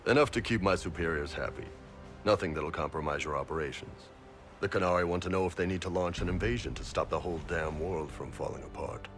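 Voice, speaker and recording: An actor playing a big horned Qunari mercenary boss in a video game, blunt, informal and jovial. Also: male voice, deep voice, fast talker, a bit bright. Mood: frank, serious. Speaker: mercenary captain and self-declared spy. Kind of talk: video game character dialogue. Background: game music.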